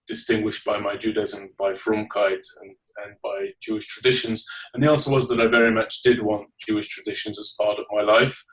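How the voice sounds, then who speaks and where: Measured man with a Leeds accent. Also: lowish voice, distant mic, low-quality recording. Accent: Northern English, Leeds. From England. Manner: measured, formal, articulate. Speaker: guest. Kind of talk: podcast interview.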